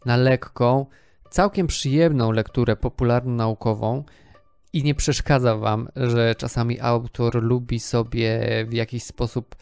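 A man's voice: medium pitch, a little mellow, clean tone, no echo. A man in his thirties hosting a podcast, talking through book reviews in a semi-scripted way. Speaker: podcast host.

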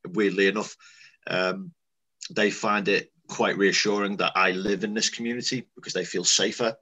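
A man's voice: on the low side, steady tone, normal speed, bright, forceful tone. A young man with a Liverpool accent talking casually and matter-of-factly about his work as a security dog handler over a video call. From Liverpool, England. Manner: conversational, matter-of-fact, loose with grammar. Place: small room indoors. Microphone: webcam on video call.

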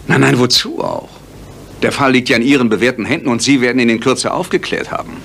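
Cool sarcastic detective in an audio play, mid pitch, clear background noise. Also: male voice, steady tone, fast talker, fairly bright tone. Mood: politely dismissive. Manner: dry, polite, curt. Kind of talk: German audio drama acting.

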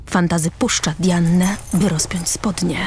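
A woman's voice: on the low side, steady tone, a little slow. A woman narrator reading the scripted stage directions of a radio drama. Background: music.

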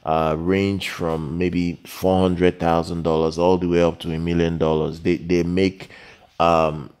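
A man with a very low, mellow voice presents a product review off the cuff. He talks haltingly, doubling back on phrases and repeating words to drive his point home. The tone stays dark and relaxed. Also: clear, resonant tone. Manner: halting, repetitive, emphatic on key words. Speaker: product reviewer, channel host. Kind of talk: unscripted product review voice-over.

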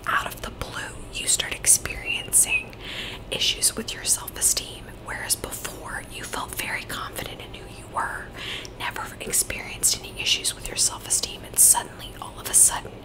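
A woman whispering softly and reassuringly as a Reiki practitioner, in an ASMR session. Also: extremely high pitch, rough recording. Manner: soft whispering, gentle and reassuring. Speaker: Reiki practitioner and psychic medium. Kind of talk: whispered ASMR energy-healing talk. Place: Virginia, USA.

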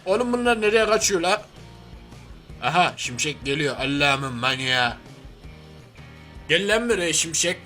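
A man's voice: a little high, expressive, measured pace, pauses often, bright, forceful tone. A man voicing a crude, hot-headed, hairy oaf of a superhero in a comic game cartoon, with brash, slangy, grumbling street talk. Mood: grumbling, agitated. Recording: music behind the voice.

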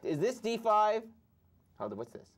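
A man's voice: sits high, sing-song, normal speed, crowd noise. A young man hosting a live studio chess show, chatting casually and mumbling to himself as he thinks over his moves. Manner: musing aloud, mumbling to himself while thinking. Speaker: show host playing chess. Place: dark TV studio.

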